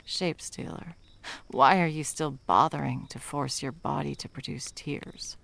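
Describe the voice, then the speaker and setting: A woman playing a menacing, scornful monster hunter in an ASMR roleplay, speaking in a creaky, deliberate, dramatic way. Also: low voice, steady tone, a bit bright, heavily acted. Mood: puzzled, probing.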